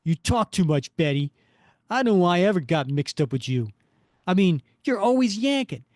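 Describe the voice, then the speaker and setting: A man's voice: sits high, big pitch swings, moderate pace, darkish tone, no room sound, clean studio sound, pitched above the performer's own voice. A man voicing a menacing, cold male criminal who talks bluntly and threateningly.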